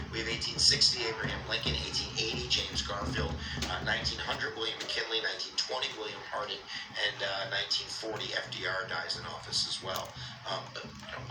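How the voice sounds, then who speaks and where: A man's voice: on the low side, reverberant, far from the mic, rough recording. A man casually telling a history story, rambling with many fillers and joking asides.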